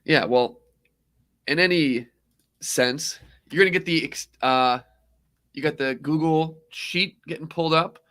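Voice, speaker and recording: Hoarse man chatting on a livestream. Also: a little high, a little slow, a bit bright. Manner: upbeat, rambling, jokey. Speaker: co-host. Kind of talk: casual livestream chat.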